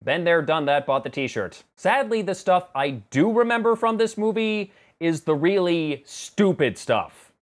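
A man's voice: high-pitched, animated, unhurried, few pauses. A man in his thirties giving a conversational movie review to camera in a sarcastic, exasperated manner. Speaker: film review vlogger. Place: small home office room indoors.

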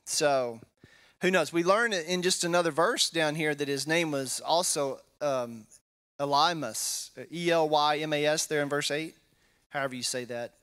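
Texan man, 40s, pastor; easygoing Bible talk on a handheld mic; slightly raised pitch. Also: measured pace, fairly bright tone, clean tone. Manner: casual, tags statements with "right?", thinks aloud with fillers. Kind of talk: conversational Bible teaching. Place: wood-paneled church hall, indoors. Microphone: handheld microphone.

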